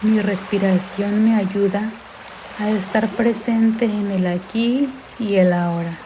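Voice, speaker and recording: A woman leads a guided meditation with a soft, mellow voice. She speaks slowly and gently, calming the listener with each instruction. Sea water sounds run clearly behind her. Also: mid-range voice, slight background noise. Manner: calm, gentle, soothing, unhurried instruction. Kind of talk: guided mindfulness meditation narration. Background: sea sounds and background music.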